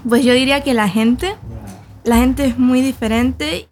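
A woman's voice: high voice, fairly even intonation, moderate pace, a little mellow, a little hiss or hum. A woman with a Venezuelan accent, chatting casually as a guest in a radio studio. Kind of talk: casual chat.

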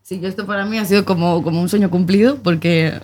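A woman's voice: fairly low pitch, steady tone, fast talker, a little mellow, a little hiss or hum. A woman with a northern Spanish accent, chatting casually as a radio guest in a studio. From Bilbao, Spain. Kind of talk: casual chat.

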